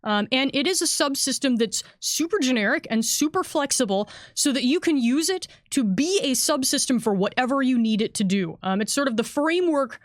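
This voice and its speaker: Higher-pitched woman chatting about design work. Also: animated, brisk, hardly any pauses, no room sound, studio quality. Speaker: game designer. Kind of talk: casual panel chat about game design.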